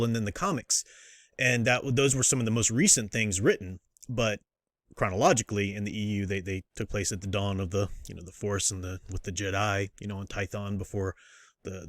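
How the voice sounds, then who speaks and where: A man's voice: on the low side, no echo, clean studio sound. A man giving a casual, unscripted review as a host, rambling and interrupting himself with a fan's enthusiasm. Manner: rambling, self-interrupting, enthusiastic fan talk. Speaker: host reviewing books and comics.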